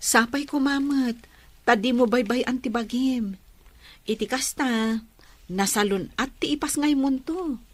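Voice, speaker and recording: A woman's voice: high-pitched, unhurried, no echo. A woman acting a kind, forgiving young friend with an Ilocano Filipino accent in a radio drama. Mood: kind, encouraging.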